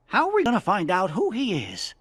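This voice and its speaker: A man voicing a small, earnest, excitable cartoon cricket in a video game, full of wonder. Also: sits high, a little fast, slightly rough, heavily acted. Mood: excited. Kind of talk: animated game voice acting.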